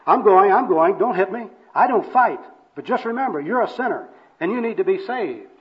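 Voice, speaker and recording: A zealous young street evangelist, acted out by a man in an amateur skit, pitched up and forceful. He hectors and accuses with preachy urgency. The recording is dull and lo-fi. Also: lively intonation, moderate pace. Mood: indignant, insistent. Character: overzealous young male gospel worker, loud, accusing, preachy. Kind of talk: amateur dramatic reading of a comic skit.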